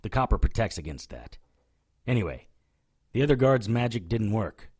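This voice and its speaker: A man playing a hard-boiled occult detective in an audio drama, narrating and talking in a dry, sardonic way with a husky voice. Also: on the low side, big pitch swings, moderate pace, darkish tone. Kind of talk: first-person noir narration and dialogue in audio drama.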